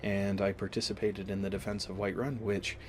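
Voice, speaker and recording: A man with a slight cold giving casual let's-play commentary, explaining things in a deliberate, halting way. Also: lowish voice, level tone, a little hiss or hum. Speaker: gaming video host. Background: game music.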